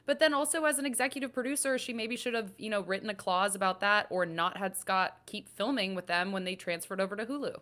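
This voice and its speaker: A woman's voice: medium pitch, fast, few pauses, fairly bright tone. A woman on a recap panel giving casual opinions, conversational and thinking aloud as she goes.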